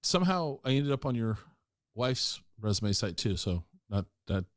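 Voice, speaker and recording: A chatty man hosting a podcast, with a lively voice that swings high and low as he reacts. He talks with easy enthusiasm, and the recording is close and dry, with no room sound. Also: moderate pace, no echo. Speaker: podcast host. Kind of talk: casual interview chat.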